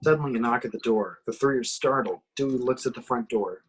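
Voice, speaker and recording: A man narrates screenplay action in a home horror table read. His voice is husky and mid-pitched, and he reads the scripted directions scene by scene. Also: brisk.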